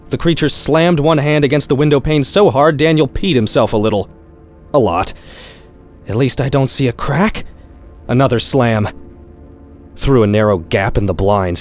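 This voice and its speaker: A man reading a horror-comedy short story aloud in an expressive, wry, profane style. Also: mid-range voice. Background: background music.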